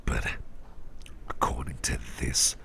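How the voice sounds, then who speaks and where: A man performing an ASMR roleplay as an attentive boss, speaking in a gentle, reassuring, breathy half-whisper with an unhurried delivery. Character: soft-spoken, attentive businessman boss who researches legendary artifacts. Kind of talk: ASMR roleplay, intimate one-sided chat.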